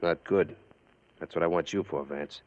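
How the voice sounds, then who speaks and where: Actor as low-voiced, sardonic gang boss. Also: male voice, fairly even intonation, moderate pace, natural voice. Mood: guarded. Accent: American. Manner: dry, clipped sarcasm. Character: hard, sardonic gang boss, coolly domineering. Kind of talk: 1950 radio crime drama acting. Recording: dull, dry old radio recording.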